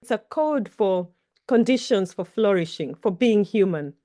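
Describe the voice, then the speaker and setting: A woman's voice: medium pitch, lively intonation, a little slow, warm tone, dry recording. Woman, Kenyan accent, Kikuyu native speaker, middle-aged, reflective storyteller, dry close recording. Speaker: guest. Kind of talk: reflective interview talk.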